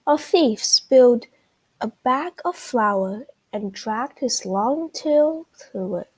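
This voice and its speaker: A woman's voice: a little high, lively intonation, slow, darkish tone, breathy edge, heavily acted, poor audio. A woman voicing a confident, inquisitive male duck detective in a children's storybook. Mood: deducing, confident. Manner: inquisitive, explanatory, exclaiming.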